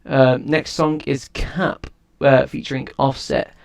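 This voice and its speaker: Man, casual music review, rambling, frequent restarts, mid-pitched voice. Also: flat delivery, slow pace, creaky. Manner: rambling, restarts sentences often.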